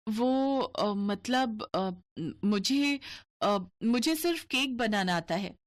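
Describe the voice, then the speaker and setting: A woman's voice: a little high, lively intonation, slow pace, dry recording, clean studio sound. A woman voicing a sweet, simple young bride, polite, frank and innocent, in a cartoon story. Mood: timid, polite.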